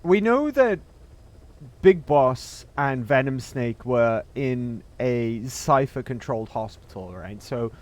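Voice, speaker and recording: A man's voice: mid-range voice, lively intonation, slow pace. A man giving casual livestream game commentary, emphatic and repeating himself as he thinks aloud. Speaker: game streamer.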